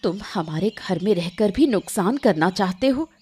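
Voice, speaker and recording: A woman's voice: medium pitch, lively intonation, moderate pace, dark tone, husky. A woman voicing a stern, reproachful woman of the household in a cartoon moral story, scolding firmly.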